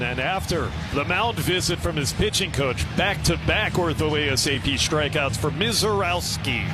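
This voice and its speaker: A man with an American accent calling a live baseball game on the radio as play-by-play announcer. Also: fairly high pitch. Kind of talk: live radio sports commentary. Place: stadium broadcast booth. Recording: noisy ballpark ambience behind. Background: steady ballpark noise.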